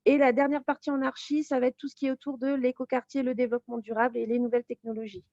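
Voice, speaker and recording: A woman's voice: sits high, little pitch movement, very fast, warm tone, no room sound, highs cut off. A woman giving an informal, explanatory presentation of a study programme as a teacher. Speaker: teacher presenting a real estate course.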